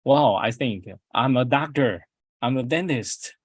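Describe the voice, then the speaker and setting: Middle-aged taiwanese guy, english not his first language, slow and halting, lots of uhs, dry sound. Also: medium pitch, no echo. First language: Chinese. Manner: halting, many fillers and restarts. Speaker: dentist giving a guest talk. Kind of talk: prepared presentation on a video call.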